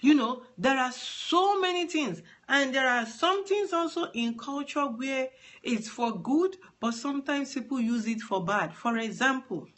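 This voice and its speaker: A Nigerian woman with a high, bright voice speaks earnestly into a phone for a live stream. She explains things patiently, often repeating a word to drive the point home. Her English carries the accent of a Yoruba speaker. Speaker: advocate for trafficking survivors. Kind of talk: live-streamed talk to followers.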